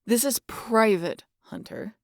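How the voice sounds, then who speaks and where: A woman playing a sardonic, guarded vampire in an intimate audio roleplay, with a gravelly, husky voice and a teasing, exasperated manner that slips into breathy whispers. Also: a little high, expressive, slow, no echo, clean studio sound, full character voice. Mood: exasperated, defensive. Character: sardonic, guarded female vampire hypnotizing an injured hunter.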